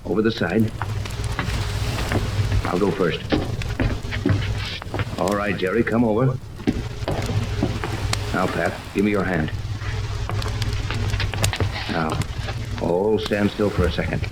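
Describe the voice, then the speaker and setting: Casting: steady, authoritative sea captain, low register, vintage radio adventure, noisy recording with rain. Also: male voice. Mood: commanding, steady. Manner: authoritative, deliberate, gives orders. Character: commanding, level-headed male yacht captain leading an expedition. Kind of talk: 1930s radio serial acting. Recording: noisy 1930s radio, rain ambience over it.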